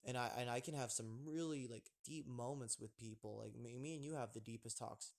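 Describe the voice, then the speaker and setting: A man's voice: mid-range voice, a little fast, creaky. A young man hosting a casual podcast chat, rambling with lots of fillers and restarts. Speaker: podcast host.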